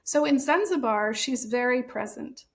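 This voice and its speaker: An American woman, a guest on an interview podcast, answering in a thoughtful, measured way. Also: fairly high pitch, expressive, creaky. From born in Germany, raised in the United States. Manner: thoughtful, measured, with occasional soft laughs. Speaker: guest, author and translator.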